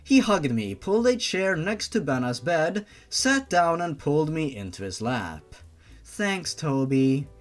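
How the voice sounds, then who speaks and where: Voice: medium pitch, sing-song, measured pace, few pauses, faint music behind. A narrator reading fan fiction dramatically, with a theatrical, sarcastic manner. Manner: theatrical, sarcastic, sweary outbursts. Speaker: narrator and commentator.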